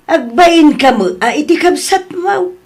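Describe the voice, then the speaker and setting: A woman playing a long-suffering, scolding Filipino mother of grown children in an audio drama, with drawn-out exasperated exclamations. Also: high voice, animated, a little mellow. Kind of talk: dramatised audio story acting.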